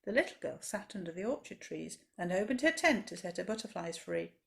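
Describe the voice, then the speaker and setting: A woman's voice: lowish voice, lively intonation, brisk, gravelly. A woman reading a rhyming children's story expressively, with dramatic emphasis, as a library storyteller.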